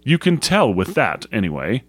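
A man's voice: on the low side, wildly swooping pitch, moderate pace, a bit bright, husky, no room sound, exaggerated voice. A man voicing an old country shepherd in a storybook, with a rural English dialect and an emphatic, plain-spoken manner. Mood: grave warning. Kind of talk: storybook character voice.

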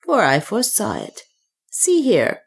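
A woman voicing a desperate, secretive servant woman in a storybook reading, speaking quietly and insistently. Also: mid-range voice, wildly swooping pitch, measured pace, gravelly, slightly rough, voice pushed up, exaggerated voice. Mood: scheming.

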